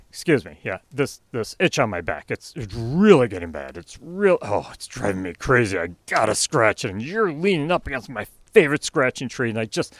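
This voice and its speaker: A man's voice: medium pitch, no room sound. A man voicing a polite male bear with an itchy back, pleading again and again for his favorite tree. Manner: pleading, repeats himself.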